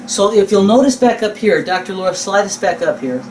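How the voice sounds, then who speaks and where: A man's voice: high voice, expressive, fairly quick. A man teaching in a conversational lecture style, folksy and informal, stressing key words and posing rhetorical questions. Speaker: instructor. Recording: roomy, distant mic, faint background noise.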